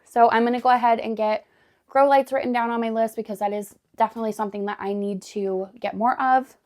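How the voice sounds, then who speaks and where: A woman vlogging casually at home, still hoarse and raspy after being sick, chatting with lots of "like" and "really" as she sorts gardening supplies. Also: on the high side, fairly even intonation, quick pace, darkish tone. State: hoarse from recent illness. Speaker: homestead vlogger. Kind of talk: casual vlog chat. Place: indoors at home, sorting gardening totes.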